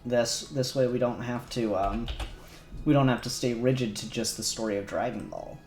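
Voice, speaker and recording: A man's voice: medium pitch, normal speed, roomy sound, soft background music. A man casually chatting on a livestream, thinking aloud as he works on a 3D model. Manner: relaxed, thinking aloud while working. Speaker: co-host modelling on stream.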